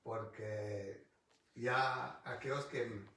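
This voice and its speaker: A male pastor whose first language is English, teaching a Bible study in Spanish, halting and restarting often as he looks for words. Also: mid-range voice, steady tone, gravelly, bright, forceful tone. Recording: distant mic in a very echoey room.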